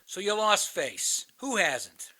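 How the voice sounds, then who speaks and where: A man's voice: high-pitched, huge pitch swings, takes it slowly, sharp tone, heavily acted. An actor in a radio play, playing a cynical, bitter older man, a world-weary crisis hotline veteran who likes to philosophize. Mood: provocative.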